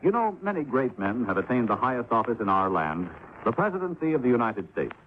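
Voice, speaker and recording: Lively 1950s radio announcer man. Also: mid-range voice, brisk, over loud music. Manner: animated, clear, quizzing. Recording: tinny old radio broadcast.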